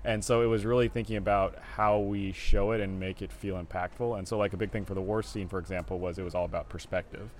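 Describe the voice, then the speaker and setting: A man, a film director, answering interview questions in a relaxed, rambling way full of fillers, recorded live at a festival venue. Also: low voice, fast talker, hardly any pauses, a little hiss or hum.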